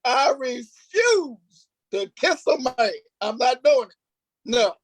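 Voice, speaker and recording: A man's voice: very high-pitched, big pitch swings, slow, dry recording. Male stage actor, informal interview delivery, emphatic and repetitive for stress, dry recording with little room. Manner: emphatic, repeats phrases for stress. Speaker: stage play cast member, actor.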